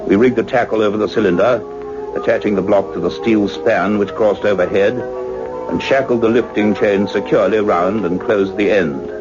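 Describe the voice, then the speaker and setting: Male, acting grave, intense doctor of science in radio drama; lowish pitch, muffled lo-fi recording with faint music. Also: steady tone, close to an everyday voice. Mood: awed, tense narration. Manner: deliberate, intense, dramatic phrasing. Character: earnest male scientist, doctor, excited yet grave and cautious.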